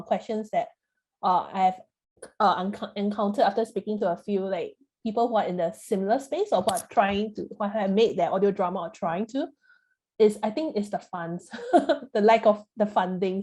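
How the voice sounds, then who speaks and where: A woman's voice: mid-range voice, mellow. A woman hosting a workshop in a casual, chatty way, with informal, wandering phrasing full of "you know" and "like". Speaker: host, moderator.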